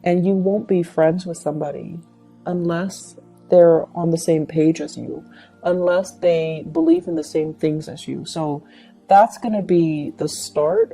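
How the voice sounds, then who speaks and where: Woman, tarot reader: lowish, very dark and soft-edged tone, reflective advice straight to the listener, music underneath. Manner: reflective, advising, addresses listener directly. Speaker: tarot reader and channel host. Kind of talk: intuitive tarot reading addressed to the listener.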